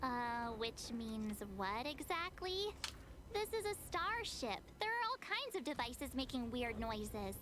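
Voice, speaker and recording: Casting: perky, informal teenage game heroine, high and animated, cheeky temper. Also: female voice, slow, noisy background, full character voice. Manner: playful, expressive, informal.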